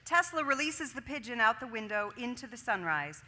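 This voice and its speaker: A woman's voice: fairly high pitch, very animated, brisk, a loud crowd, very noisy. A woman reading scripted stage directions as the narrator of a staged reading. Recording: reverberant hall, music underneath.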